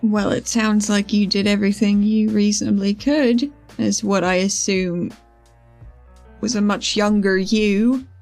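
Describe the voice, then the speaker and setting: A woman's voice: mid-range voice, steady tone, measured pace, darkish tone, faint music behind, pitched below the performer's own voice, natural voice. A woman playing a frank, naive, good-natured young outsider in a tabletop game, talking informally. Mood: surprised, sympathetic.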